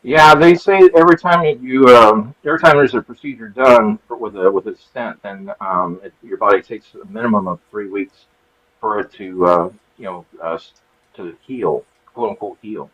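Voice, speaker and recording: A man hosting a live show, talking in a rambling, halting way with many restarts. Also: on the low side, a bit bright, breathy edge, poor audio. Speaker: show creator and host. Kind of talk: casual chat on a live show.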